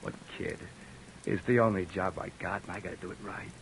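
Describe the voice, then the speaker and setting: A man playing a gruff but decent beat cop who talks in streetwise slang and narrates his story, in 1940s radio acting style. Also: sits low, fairly even intonation, a little fast, slight background noise, poor audio. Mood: heated. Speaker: police officer and narrator.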